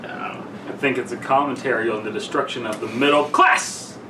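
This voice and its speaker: A man's voice: medium pitch, moderate pace. A man co-hosting a podcast in casual, playful group chat, easygoing and sweary. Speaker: podcast co-host. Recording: roomy, distant mic, background noise.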